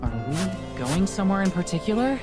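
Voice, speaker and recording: A woman voicing a jumpy, easygoing young female traveler in a visual novel read-through, with a slow, sing-song delivery. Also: mid-range voice, very animated, close to an everyday voice. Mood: relieved. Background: background music.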